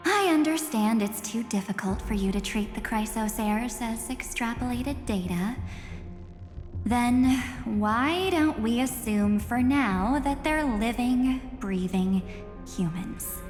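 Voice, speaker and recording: A woman playing a coolly taunting, sinister antagonist in a game dub, deliberate and teasing, with phrases trailing into breathy whispers. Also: a little high, animated, a little mellow. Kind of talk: English game dub voice acting. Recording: echoey hall reverb, music behind.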